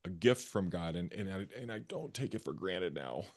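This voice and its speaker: Low-voiced man telling his story earnestly. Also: expressive, moderate pace, darkish tone. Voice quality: bass voice. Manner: earnest, repeats phrases while searching for words. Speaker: therapist and guest. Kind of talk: candid personal storytelling.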